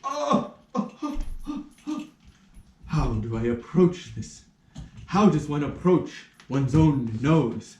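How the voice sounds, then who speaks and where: In a roomy, echoing space, a man acts out a pompous army major for a puppet play. His high voice swoops dramatically as he pleads and exclaims in flustered indignation. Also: very animated, slow, warm tone, highs cut off, full character voice. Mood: distraught. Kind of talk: puppet show stage acting in rehearsal. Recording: roomy echo, somewhat distant mic.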